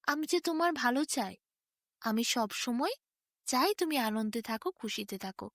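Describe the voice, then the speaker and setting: A woman's voice: a little high, animated, normal speed, no echo, studio quality. A woman voicing a sly donkey in a bedtime story, confiding in a plaintive way with feigned sorrow.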